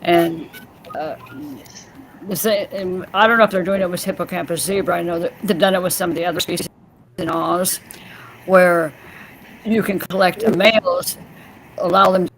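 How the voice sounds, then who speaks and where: A woman's voice: on the low side, fairly even intonation, normal speed, long pauses. A woman joining a group video chat, explaining in detail with fillers and self-corrections while her voice turns scratchy. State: voice going scratchy. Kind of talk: casual chat.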